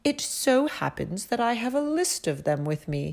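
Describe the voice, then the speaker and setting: A woman voicing a pompous, pedantic old royal mathematician, speaking slowly and precisely in a storybook reading. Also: on the high side, sing-song, gravelly, darkish tone, voice pushed up. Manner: pompous, slow and precise, reciting lists.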